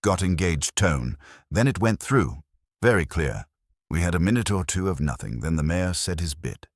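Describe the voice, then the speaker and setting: An earnest young technical lad in an audiobook mystery, voiced by a deep-toned man. He is literal, loyal and easily ruffled, with animated pitch in a dry, close recording. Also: normal speed, dry recording. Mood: earnest, defensive. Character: earnest, touchy, technically minded boy assistant.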